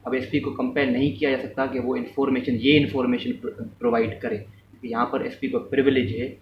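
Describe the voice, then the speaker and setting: A man's voice: medium pitch, flat delivery, fairly quick. A man giving an informal law lesson, explaining step by step, often rephrasing and mixing in English terms. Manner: mixes English terms and phrases into speech. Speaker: law lecturer. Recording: echoey room, distant mic, muffled, faint noise.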